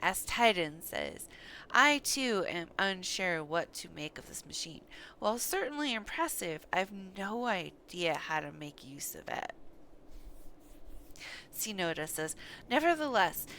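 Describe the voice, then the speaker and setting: Female game streamer, lively pitch, unhurried pace, reacting aloud over faint background noise. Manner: animated, expressive, reacting aloud to play. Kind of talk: live gameplay commentary, reading dialogue aloud.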